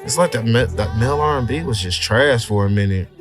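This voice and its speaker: A man's voice: mid-range voice, a little fast, music in the background. A man hosting a podcast interview, talking in a laid-back, slangy, conversational way. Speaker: podcast host. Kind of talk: casual interview chat.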